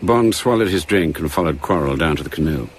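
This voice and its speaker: An actor as the measured narrator of a radio spy drama, reading scripted storytelling. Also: male voice, very deep voice, lively intonation, husky, heavily acted.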